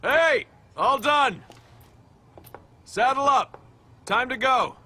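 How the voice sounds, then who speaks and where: A man's voice: extremely high pitch, lively intonation, very slow, pushed and bright, exaggerated voice. A man playing a cocky, teasing monster hunter in an English-dubbed video game cutscene. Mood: rousing, amused. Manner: wisecracking, teasing.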